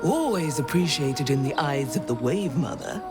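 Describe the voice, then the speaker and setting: An actress playing a solemn, fervent priestess of a cruel sea goddess in a video game, speaking in a grand, incantatory manner. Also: female voice, deep voice, expressive, normal speed. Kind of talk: ceremonial, scripted game acting. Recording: loud music behind the voice.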